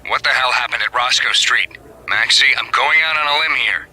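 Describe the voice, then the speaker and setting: A man's voice: mid-range voice, moderate pace, pushed and bright, a little hiss or hum, poor audio. A man playing an urgent, pressing colleague leaving a message in a noir game. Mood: urgent, tense.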